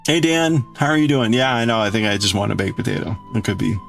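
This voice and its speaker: A man's voice: fairly low pitch, steady tone, quick pace, vocal fry, faint music behind. A man hosting a casual livestream, chatting in a rambling, exclamatory way as he greets and answers viewers. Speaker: livestream host. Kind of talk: casual livestream chat, crafting and reading out viewer chat.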